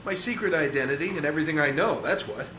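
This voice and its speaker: An actor playing an unhinged, chatty rogue CIA operative in a video game, rambling with flippant, jokey menace. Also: male voice, fairly high pitch, fast, roomy sound, background noise, lightly acted. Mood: manic, breezy. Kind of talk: video game cutscene acting.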